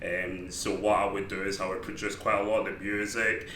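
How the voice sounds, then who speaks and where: A young Glaswegian man with a bright, forceful voice and a steady, flat delivery. He talks in a chatty, self-deprecating way, filling pauses with ums. The recording sounds echoey, with a faint hiss underneath. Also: deep voice. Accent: Glaswegian Scottish. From Scotland. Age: 20s. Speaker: interviewee describing his day. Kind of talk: casual monologue.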